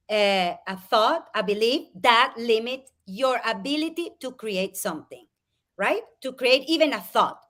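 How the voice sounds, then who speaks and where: Woman, guest coach on a live video call, high voice, animated and expressive. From Spain, raised in Venezuela. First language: Spanish. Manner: animated, expressive, quick to laugh. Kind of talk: live interview, explaining a method.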